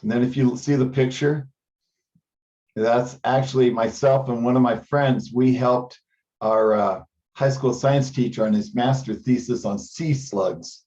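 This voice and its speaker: A man's voice: mid-range voice, steady tone, measured pace, dark tone. A man speaking informally in a meeting, often repeating a word as he goes, over conference call audio. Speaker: teleconference participant. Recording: dull band-limited conference call audio.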